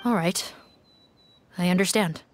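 A woman's voice: on the low side, a little slow, close to an everyday voice. A woman voicing a wary, dutiful guard officer in a video game, restrained and serious.

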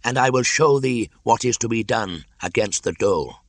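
A man voicing a wise old male python in a storybook, speaking slowly and deliberately in archaic phrases. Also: medium pitch, animated, no echo, pitched above the performer's own voice. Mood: measured, stern.

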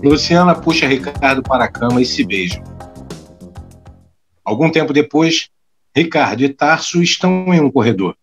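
A man's voice: mid-range voice, expressive, moderate pace, long pauses. A man reading scripted narration for an audio drama. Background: music.

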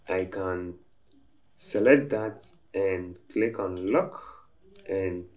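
A man calmly talking through a design software tutorial step by step as he works at his computer. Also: deep voice. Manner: slow, step-by-step instructive talk. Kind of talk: screen-recorded software tutorial narration.

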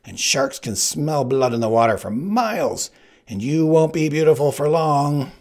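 A man's voice: fairly high pitch, expressive, unhurried, darkish tone, pitched above the performer's own voice. A man voicing a conceited male kidnapper who makes boastful, menacing threats in a storybook. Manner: shrieking, gloating threats.

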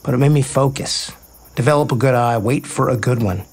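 An actor playing a playful, sincere baseball player, casual and earnest in a naturalistic audio drama. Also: male voice, medium pitch, brisk, darkish tone, husky. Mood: nostalgic, sincere.

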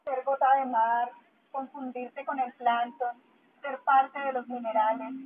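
Tinny, high-voiced woman reciting. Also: little pitch movement, measured pace. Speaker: poet reading in public. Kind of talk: poetry recital and announcing at a public event. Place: outdoor public park. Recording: tinny, band-limited megaphone sound outdoors.

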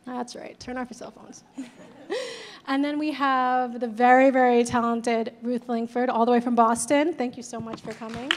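High-voiced woman hosting a panel. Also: level tone, moderate pace, a little hiss or hum. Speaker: event host, moderator. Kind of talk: introducing panelists. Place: gallery stage before a large audience. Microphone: handheld mic.

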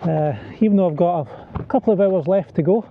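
A man in his thirties talking to the camera while fishing outdoors, chatty and excited, calling viewers "guys". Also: high-pitched, brisk. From United Kingdom. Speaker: fishing vlogger. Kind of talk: casual on-camera fishing commentary. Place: outdoors, wading in a sea loch under cloudy skies. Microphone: camera on a pole nearby. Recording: dull lo-fi outdoor audio with faint wind and water.

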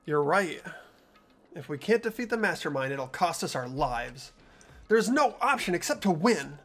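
A man's voice: a little high, very animated, normal speed, a bit bright, soft background music, heavily acted. A man voicing an earnest, anxious teenage boy in a fan-voiced visual novel playthrough. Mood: determined.

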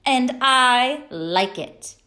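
A woman's voice: sits high, animated, extremely slow, bright, forceful tone, pitched above the performer's own voice, full character voice. A woman voicing a stubborn, bossy little schoolgirl from a storybook, emphatic and defiant.

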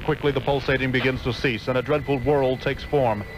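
A man's voice: mid-range voice, fairly even intonation, brisk, background noise. A man narrating a 1960s radio adventure drama in a theatrical, urgent scripted style. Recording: old radio drama with music behind.

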